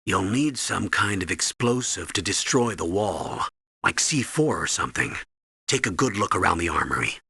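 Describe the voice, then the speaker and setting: Older American military commander for a game: authoritative, clipped orders, gravel-edged, dry close sound. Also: male voice, low voice, very animated, moderate pace, sharp tone, no room sound, exaggerated voice. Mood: steady. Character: stern older military colonel giving mission orders by radio. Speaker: mission commander and briefer. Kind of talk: scripted video game radio briefing.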